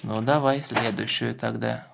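A man's voice: mid-range voice, steady tone, unhurried, vocal fry. A man hosting a children's toy car show, chatting playfully with a child and prompting with questions. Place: indoors at a wooden table. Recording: faint background noise.

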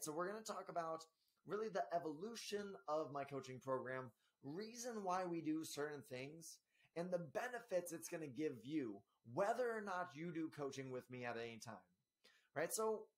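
A man in his thirties hosting a solo health podcast from a small office, with a slightly scratchy voice, energetic and often asking "right?". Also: fairly high pitch. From Spokane area, US. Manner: energetic, gestures a lot, frequent tag "right?". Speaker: podcast host and health coach. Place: small office in front of a banner.

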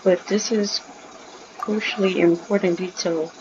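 A woman's voice: on the low side, fairly even intonation, unhurried. A woman gaming creator reading game dialogue aloud and commenting as she plays. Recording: dull, noisy home recording.

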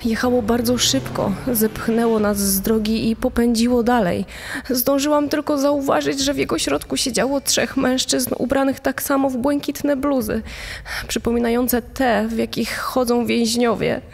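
A woman's voice: a little high, fairly even intonation, normal speed, vocal fry, a little mellow, a little hiss or hum. A woman playing a female driver giving witness testimony in a true-crime audio documentary. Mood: recounting testimony. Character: shaken female driver testifying about being run off road.

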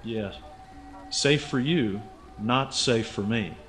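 A man's voice: mid-range voice, takes it slowly, fairly bright tone, faint music behind. A man playing an earnest, stubborn American writer in a radio drama, blunt and insistent. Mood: accusing. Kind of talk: radio drama acting and narration.

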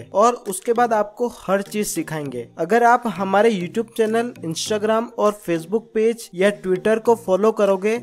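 A man narrating a scripted beginner tutorial in an instructive way, addressing listeners as friends. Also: high-pitched. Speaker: host of a stock market tutorial channel. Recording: voice-over with music behind. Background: background music.

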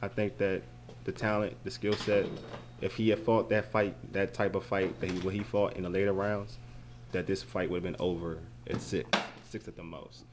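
A man giving post-fight boxing analysis into a handheld mic at an indoor arena event, animated and prone to repeating words as he thinks. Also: low-pitched, moderate pace, noisy background.

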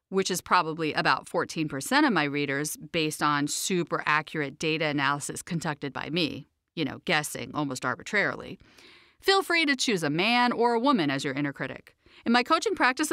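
A woman's voice: fairly high pitch, fairly bright tone, no echo, clean studio sound. A woman narrating her own self-help audiobook as a coach, in a sassy, emphatic, conversational way.

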